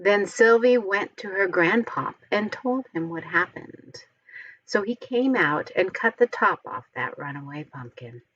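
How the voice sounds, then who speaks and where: A woman reading a storybook aloud to young children, expressively and with deliberate, careful enunciation. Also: mid-range voice, lively intonation, fairly bright tone, slightly rough, dry recording, dull audio. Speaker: storybook reader.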